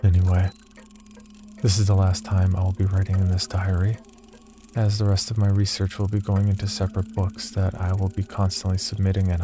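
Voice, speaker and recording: Low, detached male researcher played by an actor. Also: close to an everyday voice. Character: cold, detached male psychology researcher keeping a sinister diary. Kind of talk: horror audio fiction, first-person diary narration. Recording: loud music bed under voice. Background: loud music.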